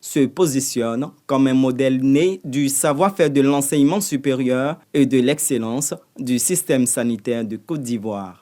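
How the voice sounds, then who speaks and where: Guy narrating a news report, regular mid pitch, reading a script. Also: measured pace, hardly any pauses, dark tone.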